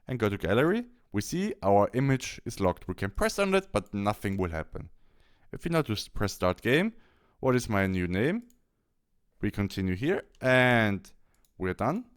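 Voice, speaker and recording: Man with lively, swooping intonation. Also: medium pitch, clean tone. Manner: explanatory, step-by-step. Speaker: tutorial host. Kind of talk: software tutorial narration over screen recording.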